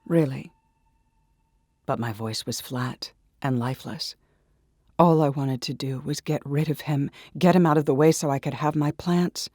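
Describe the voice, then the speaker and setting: A man delivering dramatic first-person scripted story narration in an intense, deliberate manner. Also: fairly high pitch, steady tone, a little mellow, no room sound, studio quality. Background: music.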